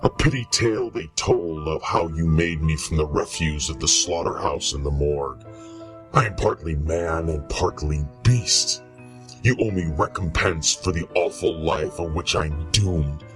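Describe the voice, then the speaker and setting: Guy doing a big sad bitter monster, really deep voice, draggy grand radio drama delivery, music underneath. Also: fairly bright tone. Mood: bitter, demanding. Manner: solemn, grandiose, deliberate delivery. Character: lonely, bitter male giant monster, loathing and pleading. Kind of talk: old-time radio horror acting.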